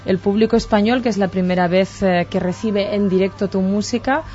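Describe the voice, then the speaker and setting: A woman with a Catalan accent, presenting and interviewing on the radio in a clear, measured way. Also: mid-range voice, warm tone. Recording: dull lo-fi radio sound with faint music.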